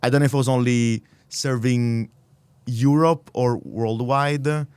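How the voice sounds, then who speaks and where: A man in his thirties with an Italian accent, a native Italian speaker, talking as a podcast guest in earnest, halting, restarted phrases. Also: medium pitch, steady tone, darkish tone, clean tone, no room sound. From Italy. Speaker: podcast guest, startup accelerator director. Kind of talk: casual interview conversation.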